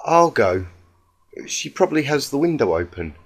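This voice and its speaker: A man voicing a timid, gentle young female cartoon pegasus with a soft, nervous, hesitant voice. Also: medium pitch, very animated, normal speed, breathy edge, voice pushed up. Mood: nervous resolve.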